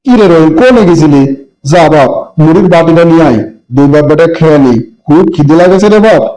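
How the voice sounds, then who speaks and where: A man plays a poor, aging father from a cartoon village. His voice sits a little high for a man, and he talks in a plaintive, grumbling, homespun way with a rural Bangladeshi accent. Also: expressive, brisk, dark tone, lightly acted. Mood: weary. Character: poor old village father, weary, scolding but loving. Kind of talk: amateur cartoon voice acting.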